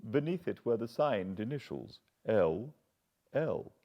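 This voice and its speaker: A man's voice: mid-range voice, animated, muffled recording, voice pushed up. A man doing a humble British butler. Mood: earnest. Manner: respectful, measured, apologetic. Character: deferential middle-aged male butler, earnest.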